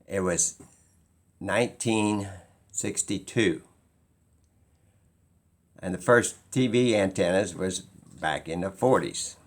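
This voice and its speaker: A man talking informally and skeptically as he explains something, halting and pausing mid-sentence as he muses. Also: low-pitched. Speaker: video host.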